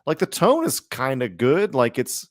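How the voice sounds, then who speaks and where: A man's voice: mid-range voice, big pitch swings, a little slow, dry recording. Rambling man chatting casually, close dry sound. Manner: rambling, informal, slangy. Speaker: podcast co-host. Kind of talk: casual chat about superhero films.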